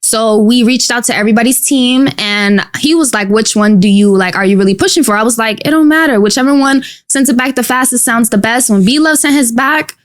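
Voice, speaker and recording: Animated young woman from Queens. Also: fairly high pitch, a little fast, hardly any pauses. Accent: New York. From Queens, New York. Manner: animated, slangy, lots of likes and you-knows. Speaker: guest rapper. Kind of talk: casual podcast interview. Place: small dark podcast studio. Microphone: studio mic on boom arm.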